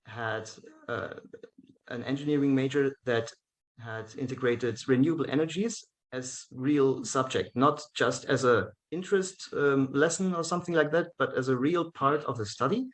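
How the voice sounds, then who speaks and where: A man with a German accent, speaking English as his second language in a relaxed interview. His voice sits in an ordinary middle pitch, and his talk comes in halting pieces, full of "um"s and restarts. He is heard over a video call. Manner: hesitant, many fillers and restarts, laughs often. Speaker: guest, event organizer. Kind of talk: casual interview chat.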